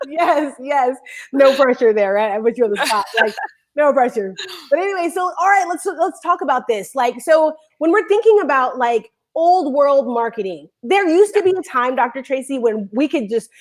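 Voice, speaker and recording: A woman hosting a live online talk show, bubbly and rambling, talking in quick bursts. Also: high-pitched, expressive. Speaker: show host.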